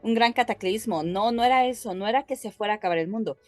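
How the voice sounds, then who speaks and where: A woman's voice: mid-range voice, fast talker, a little mellow, dry recording. Mexican woman podcaster, close dry sound over music. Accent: Mexican Spanish. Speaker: podcast co-host. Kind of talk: casual explanatory storytelling to listeners.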